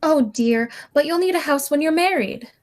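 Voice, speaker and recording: A woman's voice: high-pitched, animated, moderate pace. A young woman playing a kindly, prim elderly aunt in a radio play, motherly and fussy. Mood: soothing.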